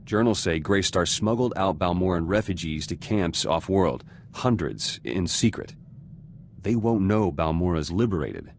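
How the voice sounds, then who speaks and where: A gruff rebel turned reluctant politician in a sci-fi game. His voice is very low, and he talks in blunt, clipped, dry phrases. Faint noise runs behind the dialogue. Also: expressive, a little slow. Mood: businesslike, determined. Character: gruff, terse male resistance fighter turned reluctant politician. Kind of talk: cinematic video game voice acting.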